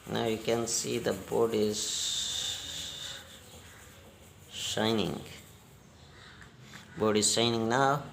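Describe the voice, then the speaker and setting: A man giving a hands-on repair demonstration in halting, non-native English, step by step. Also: fairly low pitch, level tone, creaky, reverberant, background noise. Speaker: repair technician giving a tutorial.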